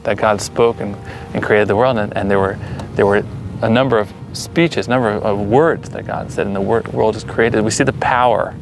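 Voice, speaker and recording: An American man, a guest, calmly telling personal stories in an interview. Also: fairly low pitch, moderate pace, music in the background. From United States.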